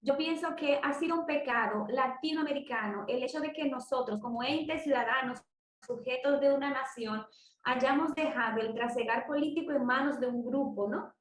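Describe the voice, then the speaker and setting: A woman from the Dominican Republic, a psychology student, giving a measured class presentation with frequent restarts. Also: sits high. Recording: echoey room, distant mic.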